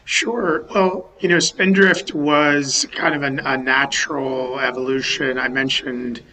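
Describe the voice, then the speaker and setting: Man from western massachusetts, bright crisp voice, halting, video call interview. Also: medium pitch, fairly even intonation, slight background noise, rough recording. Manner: thoughtful, restarts sentences, many um and you know. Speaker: company founder, guest. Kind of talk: remote podcast interview. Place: home or office in a city.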